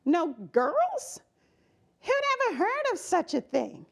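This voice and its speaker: A woman voicing a sassy, indignant little schoolgirl in a read-aloud storybook, with emphatic, drawn-out questions. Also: very high-pitched, very animated, creaky, voice pushed up, exaggerated voice. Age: child figure.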